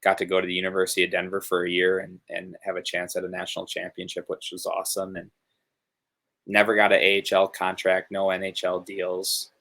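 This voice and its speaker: Low gravelly young man, flat delivery. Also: fairly quick. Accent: Northern US. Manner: relaxed, rambling with many fillers. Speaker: hockey player guest. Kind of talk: casual interview chat. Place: home room, video call.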